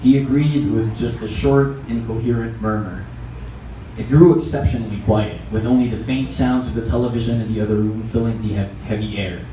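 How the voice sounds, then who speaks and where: A man's voice: fairly low pitch, fairly even intonation, a little fast, dark tone. A man with a Filipino accent reads a story as a narrator at a live event. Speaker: reader at a literary event. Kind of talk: scripted fiction narration. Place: echoey room at a live event. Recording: echoey, distant mic, clearly noisy live event.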